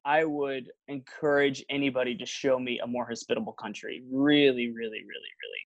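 A man's voice: medium pitch, fairly even intonation, brisk, no room sound, lo-fi sound. A young man, a travel vlogger, chatting casually as an interview guest and telling stories with lots of fillers and restarts. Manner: rambling storyteller, many fillers and restarts.

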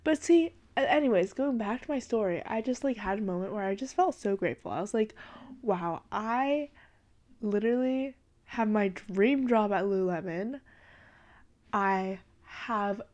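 A woman's voice: medium pitch, expressive, unhurried, darkish tone. A young woman from Washington state hosting a podcast, chatting casually about her life with lots of "like" and "literally", a little congested from allergies. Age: young adult. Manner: rambling, full of "like" and "literally", with giggles. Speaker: podcast host. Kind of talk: casual chat. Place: her dark bedroom at night.

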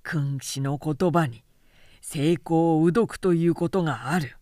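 A woman voicing a stern, loyal male general who speaks in a measured, admonishing way, in an audiobook novel. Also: low-pitched, dark tone, dry recording, clean studio sound. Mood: solemn. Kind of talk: literary audiobook dialogue.

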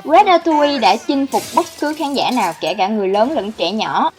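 A woman's voice: a little high, lively intonation, brisk. A woman reading scripted narration as the host of a countdown video. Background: background music.

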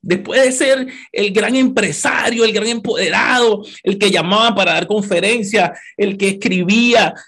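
A man's voice: moderate pace, hardly any pauses, a bit bright, slightly rough. A man in his thirties with a Venezuelan accent, giving an emphatic motivational talk to an audience. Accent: Venezuelan Spanish. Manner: emphatic, rising to high passionate peaks; repeats words, talks straight to the listener. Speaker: motivational speaker.

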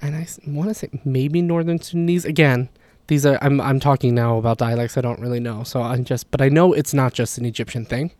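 A man's voice: medium pitch, fairly even intonation, brisk, darkish tone. A man with a mid-range voice explains language points in a loose, conversational way. He keeps slipping in self-deprecating apologies and asides. The sound is close and dry, with no room echo. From Levantine, Palestinian or Jordanian Arabic background. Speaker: language educator. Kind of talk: casual explanatory monologue.

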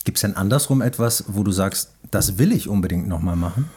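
A man's voice: deep voice, lively intonation, brisk, a little mellow, husky. A man hosting a podcast, asking questions in a casual interview style. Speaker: podcast host.

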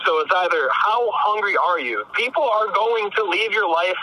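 A man's voice: high-pitched, normal speed, bright, forceful tone, low-quality recording. A man talking casually and bluntly as an interview guest, slangy and prone to swearing, on a call from a car. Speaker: music artist, interview guest. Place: in a car while driving.

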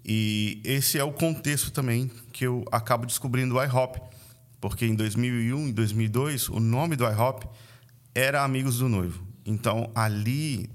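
A man in his late thirties from Vitória, Brazil, with an Espírito Santo accent, a podcast guest who tells his story in an earnest, reflective way. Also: medium pitch. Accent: Brazilian Portuguese, Espírito Santo (capixaba). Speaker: guest, missionary and church leader. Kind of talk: conversational podcast interview.

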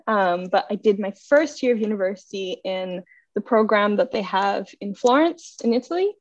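A woman's voice: mid-range voice, animated, moderate pace, no echo. A young woman from Bangladesh with an American accent, a podcast guest talking casually and laughing often. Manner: chatty, self-deprecating, laughs often. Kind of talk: casual chat. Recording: dull, dry sound.

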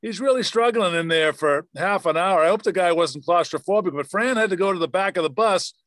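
A man's voice: high voice, fairly quick, fairly bright tone, no echo. A man hosting a casual, conversational interview, asking questions and reminiscing. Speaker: host.

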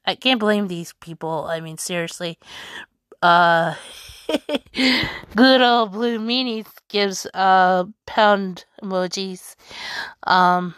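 A woman hosting a wrestling fan podcast, chatting casually in a halting way with lots of fillers. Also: medium pitch, a bit bright, smooth, dry recording, clean studio sound. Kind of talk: casual chat reading news and tweets.

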